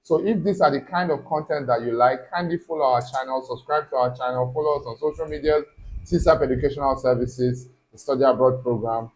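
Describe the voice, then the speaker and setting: A man's voice: medium pitch, steady tone, a little fast, a little mellow, low-quality recording. A man, a study abroad consultant, walks viewers through a screen-recorded tutorial step by step and keeps checking in with "okay?".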